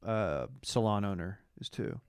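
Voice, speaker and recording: A man's voice: lowish voice, unhurried, a little mellow, clear, resonant tone, no room sound. A young man co-hosting a podcast in casual, slangy, joking conversation. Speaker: podcast co-host. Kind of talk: casual banter, reality TV recap.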